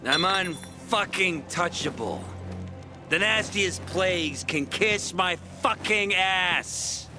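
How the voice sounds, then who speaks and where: A brash video game tough guy, pitched high and swinging widely up and down. He boasts and mocks in a theatrical, hot-headed swagger, with music clearly behind him. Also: lively intonation, slow pace. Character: brash, foul-mouthed, swaggering hot-headed male brawler. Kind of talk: video game character acting.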